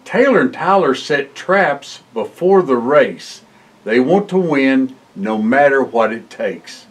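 An older man reading a children's picture book aloud in an expressive way, with exclamations and short asides. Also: medium pitch, slow pace. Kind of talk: storybook read-aloud for children. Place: indoor library room, seated by bookshelves.

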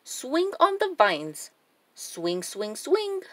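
Female children's storybook reader. High voice, unhurried, deliberate and expressive delivery. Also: dry recording. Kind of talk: expressive read-aloud for young children.

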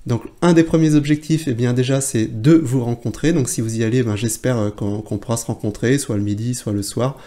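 A man's voice: medium pitch, steady tone, fairly quick, hardly any pauses, warm tone. A man in his forties, a photography trainer, talking casually to camera in a plain indoor room, chatty and full of fillers and restarts. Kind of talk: casual video blog to camera.

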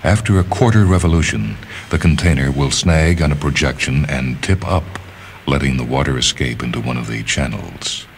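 A man reading a literary story as scripted narration, in a measured, deliberate manner, phrase by phrase. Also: extremely low pitch, a little hiss or hum. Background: music.

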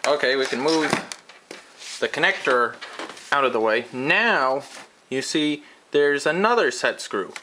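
A man giving a hands-on how-to lesson at a workshop bench, explaining each step slowly and methodically as he works. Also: medium pitch, animated, noisy background. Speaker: DIY welding instructor.

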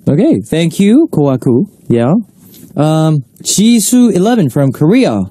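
A man's voice: on the high side, sing-song, a little slow, dark tone. A young man raised in Australia, hosting a casual radio chat show in a studio, talking loosely with lots of fillers and echoing his co-host's words. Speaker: radio host.

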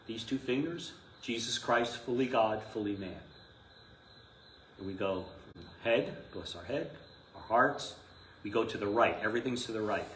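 In an echoey room with some background noise, a middle-aged man lectures informally as a priest. His delivery is bright and forceful, conversational, and full of asides and checking questions. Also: lowish voice, moderate pace. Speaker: Orthodox priest teaching a class. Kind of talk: informal live lecture to a class. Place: small indoor classroom.

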